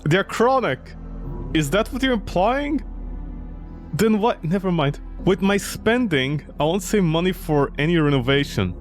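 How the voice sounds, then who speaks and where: A man's voice: sits high, expressive, a little slow, faint music behind. A man streaming a game, reading its text aloud and reacting with mocking, incredulous commentary.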